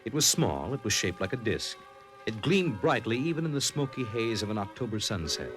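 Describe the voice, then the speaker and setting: A man's voice: fairly low pitch, very animated, brisk, low-quality recording. A man acting as the theatrical, declamatory narrator of a 1950s science fiction radio drama. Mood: dramatic, suspenseful. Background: dramatic music.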